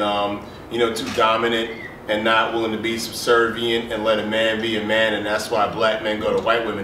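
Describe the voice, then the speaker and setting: A man from Brooklyn, New York, talking casually in a group discussion with relaxed, filler-heavy storytelling. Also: lowish voice. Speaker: panelist. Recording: roomy sound from a distance with noise.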